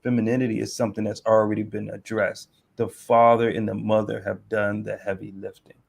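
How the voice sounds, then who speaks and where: A man's voice: lowish voice, flat delivery, brisk, vocal fry, husky. A married man hosting a casual discussion, giving earnest advice to other men. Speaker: host, coach.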